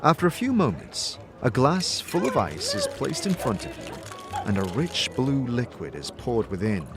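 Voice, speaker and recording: A man's voice: medium pitch, huge pitch swings, moderate pace, over music. A man narrating a scripted story in the second person, as the narrator of an audio drama.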